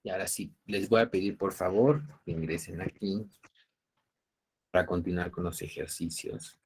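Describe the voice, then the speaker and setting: A man's voice: sits low, moderate pace, slightly rough. A man with a Peruvian Spanish accent lecturing an online university class, explaining cases step by step and often checking that students follow. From Peru. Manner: didactic, checking understanding with tag questions.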